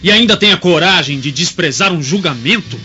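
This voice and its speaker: An actor playing a hostile Roman accuser in a radio drama, forceful and contemptuous. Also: male voice, on the high side, expressive, fairly quick, highs cut off, soft background music. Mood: scornful.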